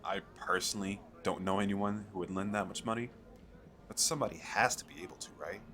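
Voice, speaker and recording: A man playing an eager, chatty fantasy merchant in an audio roleplay, talking the listener through a sales pitch in a hesitant, rambling, persuasive way. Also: brisk, pushed and bright, a little hiss or hum. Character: eager, chatty male fantasy merchant selling magical crystal castles.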